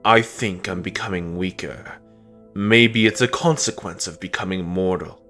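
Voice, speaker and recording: A man's voice: low-pitched, unhurried, a bit bright. A man voicing a bitter, imperious fallen god in a comic dub, speaking in a brooding, contemptuous and menacing monologue. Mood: brooding, resigned. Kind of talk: dramatic comic dub narration. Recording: music under the voice.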